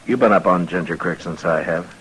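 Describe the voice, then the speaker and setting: Very deep-voiced American frontier marshal. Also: male voice, a bit bright. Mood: businesslike. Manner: measured, hesitant pauses, laconic. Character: steady, weary frontier US marshal, middle-aged man. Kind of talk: old-time radio western acting. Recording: noisy vintage radio drama recording.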